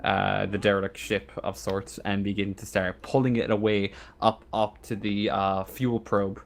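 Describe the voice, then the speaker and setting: A man improvising narration as game master of a tabletop roleplaying game, speaking informally and haltingly. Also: on the low side, little pitch movement, gravelly.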